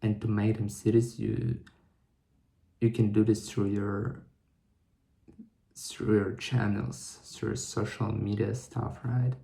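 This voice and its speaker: A young man muses slowly to camera, stopping and restarting as he works through his thoughts. His voice is mellow and gravelly, with a low pitch. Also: level tone. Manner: halting, thinking aloud with many restarts. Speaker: vlogger. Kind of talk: reflective solo vlog monologue.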